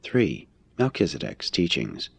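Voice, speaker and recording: Deep-voiced man narrating, slightly husky. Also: very animated, no room sound. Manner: formal, measured, expository. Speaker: audiobook reader. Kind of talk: scripted narration, reading a religious text aloud.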